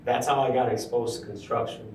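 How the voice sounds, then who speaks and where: Brief: young man, interview guest, halting and thoughtful, distant mic in a roomy space with faint ambience. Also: mid-range voice, steady tone, a little mellow, slight background noise. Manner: thoughtful, frequent ums, restarts. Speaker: construction project superintendent, guest. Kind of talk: casual interview conversation. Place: large room at a university center.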